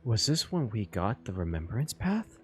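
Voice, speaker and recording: A man's voice: fairly low pitch, big pitch swings, normal speed, faint music behind. A man giving casual reaction commentary as a game streamer, informal and prone to swearing.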